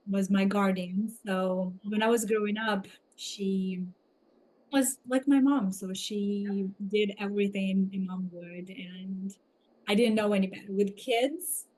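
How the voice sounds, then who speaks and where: A woman from Ukraine with a Ukrainian accent, telling her personal story as an interview guest, reflective and halting as she picks her words. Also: medium pitch, steady tone, darkish tone, rough recording. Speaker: podcast guest, somatic coach.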